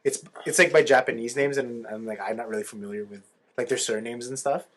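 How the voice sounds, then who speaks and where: A man with a medium-pitched voice speaks as a podcast co-host. He explains things in a casual, fannish way, leaning hard on his points for emphasis. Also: fairly even intonation, fast, a bit bright. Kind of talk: casual fan discussion.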